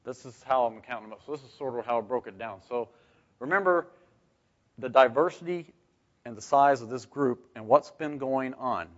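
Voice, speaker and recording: A man's voice: medium pitch, normal speed. A man teaching scripture informally to a group as a Bible study leader, conversational and often putting questions to his listeners. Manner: conversational, rhetorical questions, asks "right?". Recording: dull, muffled lo-fi recording.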